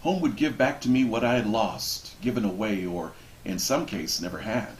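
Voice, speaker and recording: A man reading a book passage aloud expressively, with measured, deliberate phrasing and dramatic pauses. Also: fairly low pitch. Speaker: reader of a book introduction. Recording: roomy echo with background noise.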